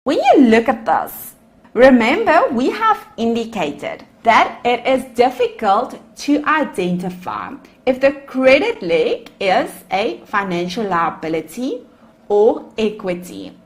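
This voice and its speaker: A woman's voice: a little high, very animated, takes it slowly. A woman lecturer with a South African accent, a native Afrikaans speaker, explaining accounting over slides and calling her students "guys". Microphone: voice-over on screen recording.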